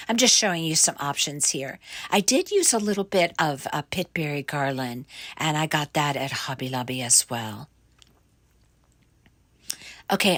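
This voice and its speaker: Slow, raspy woman with a cold. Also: low-pitched, fairly bright tone, no room sound. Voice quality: raspy, croaky. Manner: slow, explanatory, with fillers and self-corrections. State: hoarse from a cold. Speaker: craft tutorial host. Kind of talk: step-by-step craft tutorial voice-over.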